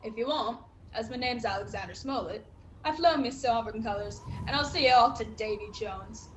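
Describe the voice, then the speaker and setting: Woman acting a stern, high-voiced sea captain. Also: fairly quick, lo-fi sound, slight background noise, close to an everyday voice. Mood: stern, defiant. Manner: clipped, commanding, formal. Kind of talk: radio play acting.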